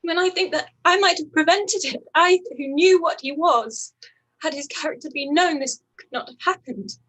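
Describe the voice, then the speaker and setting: A woman acting a distressed, proud young gentlewoman in a period radio play, with emotional, halting delivery. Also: high-pitched, poor audio. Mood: anguished, despairing.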